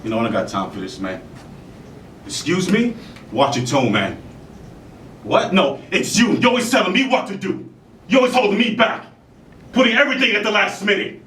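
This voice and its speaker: A man acting a dramatic monologue as an embittered, self-loathing father, confrontational and halting, repeating phrases for emphasis. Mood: anguished, furious. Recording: distant roomy mic, noisy rumble.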